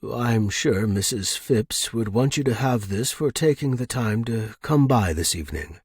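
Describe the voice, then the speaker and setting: Man playing a husky, deferential manservant. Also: mid-range voice, lively intonation, a little slow, dry recording, studio quality. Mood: courteous, deferential. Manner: formal, courteous, reserved. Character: discreet, deferential male household servant. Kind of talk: audiobook character reading.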